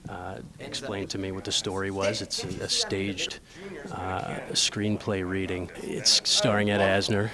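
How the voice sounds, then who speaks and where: Male actor in interview. Lower register, halting explanatory delivery, busy chatter in background. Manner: hesitant, halting.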